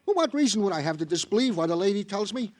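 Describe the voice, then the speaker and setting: An actor playing a chatty, fussy police sergeant with florid, mock-poetic phrasing in a 1950s radio crime drama. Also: male voice, sits high, very animated, fast talker. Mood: mock offended.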